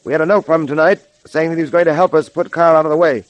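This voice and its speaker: An actor playing a resolute police detective hero, commanding and earnest, in 1930s radio drama style. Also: male voice, fairly high pitch, fairly even intonation, racing, dull audio, natural voice. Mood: firm, earnest. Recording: faintly noisy 1930s radio recording.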